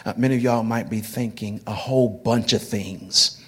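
Pastor in his 40s, husky lowish voice, chatty sermon, repeats himself as he goes. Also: fairly even intonation, normal speed. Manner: conversational, repeats words while building phrases.

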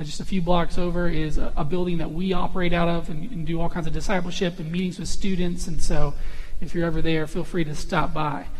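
A young man with an unusually high voice, a visiting college minister opening his sermon in a friendly, self-deprecating, chatty way. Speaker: guest preacher, college minister. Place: church sanctuary. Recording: long church reverb.

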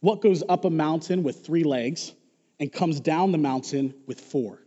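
A preacher putting on an eager average guy on a plane, quick to agree. The voice is the preacher's own, mellow and a little high. A dull recording with long hall reverb. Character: eager ordinary man on a plane.

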